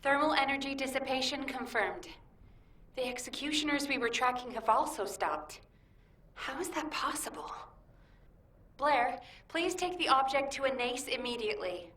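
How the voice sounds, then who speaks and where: An actress playing a composed, analytical mission operator in a video game, measured and formal. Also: female voice, high voice, lively intonation, pushed and bright. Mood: businesslike. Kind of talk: video game support character acting. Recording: echoey, distant game audio, faint noise.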